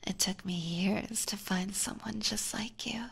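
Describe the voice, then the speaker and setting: A woman's voice: mid-range voice, steady tone, a little slow, exaggerated voice. A woman voicing an obsessive, seductive vampire catgirl in an ASMR roleplay, whispering intimately and teasingly into a close binaural microphone with sweet menace. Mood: possessive, obsessed. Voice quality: breathy.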